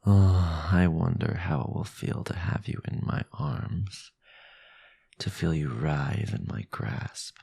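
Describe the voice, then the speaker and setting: Sinister vampire lord, possessive and silky, slow and husky, for intimate audio roleplay. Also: male voice, a bass voice, dark tone, no room sound, clean studio sound, heavily acted. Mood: hungry, seductive. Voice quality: breathy, gravelly, often dropping to a whisper. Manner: silky, menacing, condescending, drawn-out. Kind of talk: intimate ASMR roleplay acting.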